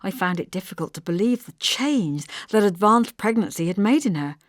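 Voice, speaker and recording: A woman's voice: medium pitch, animated, normal speed, no room sound. Woman narrating an audiobook, very dry sound. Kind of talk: scripted literary narration in first person.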